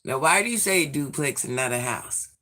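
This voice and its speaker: A man in his forties, hoarse from illness, chatting sassily with viewers on a livestream and reading out their comments. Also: mid-range voice, animated, fairly quick, sharp tone. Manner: sassy, chatty, addresses viewers by name. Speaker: livestream host.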